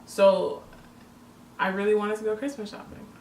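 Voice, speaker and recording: A woman's voice: mid-range voice, little pitch movement, normal speed, a little mellow. Earnest woman addressing viewers. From born in Jamaica, raised in Toronto, Canada. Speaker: vlogger. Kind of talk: casual couple's chat to camera. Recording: roomy, distant mic with faint noise.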